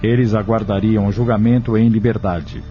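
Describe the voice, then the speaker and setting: A man's voice: fairly low pitch, fairly even intonation, moderate pace. A man with a Brazilian accent reading scripted narration for an audio drama. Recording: dull audio with music underneath. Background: music.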